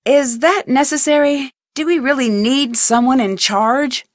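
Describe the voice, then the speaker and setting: A woman's voice: sits high, unhurried, no room sound, studio quality. An actress voicing a curious, determined young female amateur detective in a video game, asking earnest questions. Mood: inquisitive. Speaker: game protagonist. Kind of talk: studio video game voice lines.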